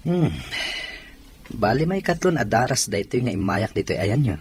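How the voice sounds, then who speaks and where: A man's voice: mid-range voice, big pitch swings, moderate pace, a little mellow, slight background noise, lightly acted. An actor playing an obliging man visiting his friend's house in a radio drama. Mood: casual, obliging.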